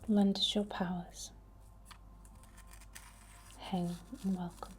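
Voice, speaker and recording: A woman whispering a ritual ASMR incantation in a breathy, deliberate way. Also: lowish voice, level tone, a little mellow. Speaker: ASMR witch and Reiki healer.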